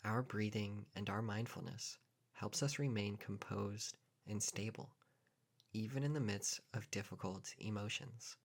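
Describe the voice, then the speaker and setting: Low-pitched man guiding a calm meditation. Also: gravelly, slightly rough. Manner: calm, measured, with long pauses between short phrases. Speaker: meditation guide. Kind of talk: guided meditation narration.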